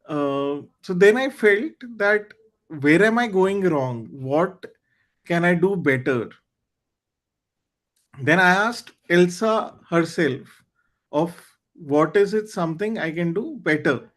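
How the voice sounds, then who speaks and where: A man with a high voice hosts a club meeting over a video call. His is Hindi, and he talks in an earnest, candid way, reaching for words with small fillers. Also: animated, slow. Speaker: meeting host. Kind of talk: online club meeting talk.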